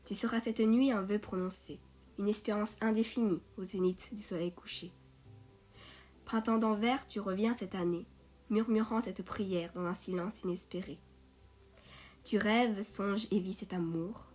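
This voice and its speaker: A woman reciting poetry in a measured way, phrase by phrase. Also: mid-range voice, fairly even intonation, darkish tone. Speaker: poet reading own poem. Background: music.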